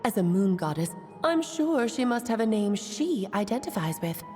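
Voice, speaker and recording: A woman's voice: mid-range voice, sing-song, moderate pace, a little mellow, faint music behind, lightly acted. A woman playing a knowing, cryptic mage who gives confident instructions in a game cutscene, her recalled voice echoing. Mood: instructive. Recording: echoey reverb on a recalled voice.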